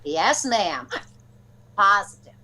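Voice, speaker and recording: A woman playing a blunt, deadpan but loyal housemaid in a 1940s-style radio comedy. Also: medium pitch, slow, husky, faint music behind, exaggerated voice, rough recording. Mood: reassuring.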